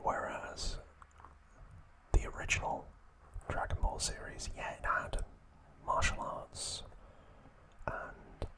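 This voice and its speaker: A man speaks entirely in a breathy whisper, in the manner of an ASMR host. He goes very slowly, in short hushed phrases with long pauses between them. The delivery is halting and informal, close to the mic. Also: poor audio.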